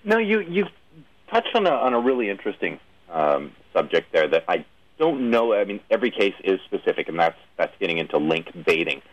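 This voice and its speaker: Man, interview host, deep bass register, conversational, wordy questions, lo-fi dulled audio. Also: big pitch swings, brisk. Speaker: radio show host, interviewer.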